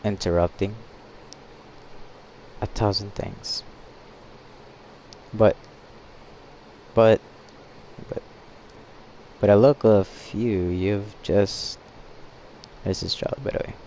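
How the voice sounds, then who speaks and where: Slow, mellow male gamer. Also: lowish voice, steady tone, a little hiss or hum, low-quality recording. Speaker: gaming streamer. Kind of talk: game playthrough, reading dialogue aloud.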